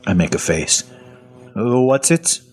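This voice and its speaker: A man's voice: mid-range voice, very animated, a little slow, husky, soft background music. A man playing a wry, sarcastic infected man who narrates his own story in an audio drama. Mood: puzzled. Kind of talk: first-person audio drama narration and acting.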